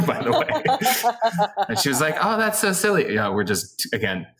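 A man's voice: high voice, huge pitch swings, measured pace, a bit bright. A man in his forties, a guest on a casual podcast, rambling and laughing at himself as he tells stories. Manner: laughing, rambling, self-deprecating. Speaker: actor guest.

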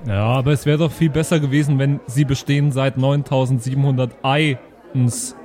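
A man's voice: medium pitch, steady tone, normal speed, a little mellow, some chatter behind, natural voice. A man playing a naive, friendly adventurer, guileless and chatty, in an improvised tabletop audio game. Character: naive, friendly male adventurer named Norman.

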